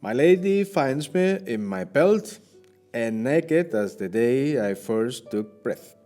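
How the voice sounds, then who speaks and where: An actor playing a shipwrecked Spanish captain in an audio play, a courtly flatterer with a florid, theatrical way of speaking. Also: male voice, mid-range voice, slow pace, soft background music. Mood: flattering, theatrical. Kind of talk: audio play acting and narration.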